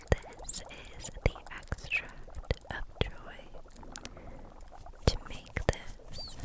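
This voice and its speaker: Woman doing whispery asmr as a caring witch, super slow, gentle and reassuring. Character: gentle, caring kitchen witch making potions. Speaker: ASMR roleplay host.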